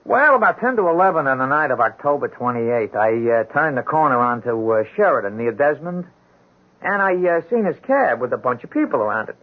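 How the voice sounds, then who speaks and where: A hapless, earnest street drunk in a vintage radio mystery. His husky voice jumps around as he stammers in rough slang. The sound is muffled and thin. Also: mid-range voice, big pitch swings, a little fast. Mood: nervous, earnest. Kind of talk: 1970s radio drama acting.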